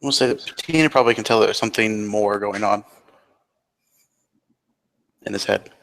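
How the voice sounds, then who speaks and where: A man's voice: fairly low pitch, moderate pace, vocal fry, a bit bright. A man improvising roleplay dialogue and narration, with a terse, reserved way of talking.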